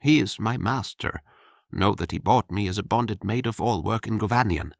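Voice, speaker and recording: A man doing a harsh-voiced forceful young woman. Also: normal speed, creaky, dry recording, clean studio sound. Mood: bitter. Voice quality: rather deep, harsh. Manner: blunt, sharp-tongued. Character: tall forceful young bonded maid, deep harsh voice, blunt.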